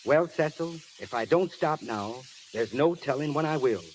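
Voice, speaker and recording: A man's voice: fairly high pitch, expressive, moderate pace, rough recording. A man as a guest speaker on a 1930s radio show, delivering scripted remarks. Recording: old 1930s radio broadcast, faint noise.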